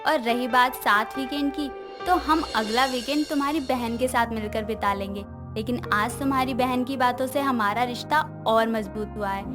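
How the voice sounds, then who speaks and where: A woman's voice: fairly high pitch, moderate pace, over music, natural voice. A woman voicing an affectionate, reassuring young woman in a story, tender and coaxing. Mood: tender reassurance. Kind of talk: animated moral-story dialogue.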